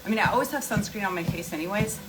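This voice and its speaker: A woman's voice: medium pitch, racing, loud music behind the voice, low-quality recording. A woman hosting a casual skincare livestream, answering viewer questions and explaining emphatically, repeating key points with rhetorical questions. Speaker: skincare and wellness livestream host.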